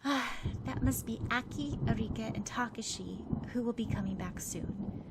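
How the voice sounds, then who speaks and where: A woman's voice: fairly high pitch, moderate pace, very noisy, pitched above the performer's own voice, natural voice. A woman voicing a helpful, reassuring teenage girl in an audiobook story. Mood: explaining.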